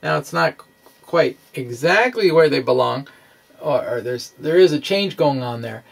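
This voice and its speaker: A man giving an informal science explanation over animations, speaking haltingly and correcting himself as he goes. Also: on the high side, lively intonation, vocal fry. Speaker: science video blogger.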